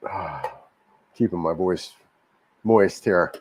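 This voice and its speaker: A man hosting a casual livestream, a little hoarse from a cold, rambling and repeating himself as he answers viewer comments. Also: deep voice, slow pace, slight background noise. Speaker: livestream host. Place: home in Cebu, Philippines.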